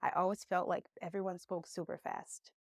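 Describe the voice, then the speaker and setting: A young woman, a Portuguese speaker, giving a conversational online English lesson with encouraging, expressive emphasis. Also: lowish voice, moderate pace, no echo, clean studio sound. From Brazil. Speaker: English teacher.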